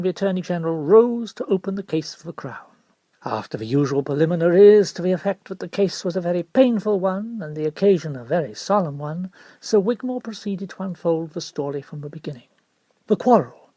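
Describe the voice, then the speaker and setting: A man reading literary narration for an audiobook in a formal, expressive storytelling manner. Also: on the high side, breathy edge, no room sound.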